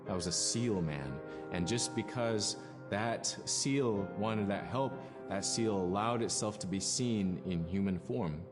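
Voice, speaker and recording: A man telling traditional stories reflectively in a documentary interview, in halting, thoughtful phrases. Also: on the low side. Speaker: cultural storyteller, documentary interviewee. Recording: long reverb, clear music behind. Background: music.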